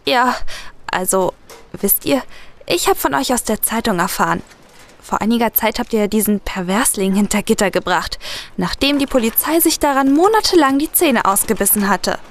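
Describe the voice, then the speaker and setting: Woman as high-voiced, chatty young female client. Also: lively intonation, moderate pace, lightly acted. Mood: hesitant. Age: young adult. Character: chatty young woman seeking detectives' help, impressed. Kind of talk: German audio drama.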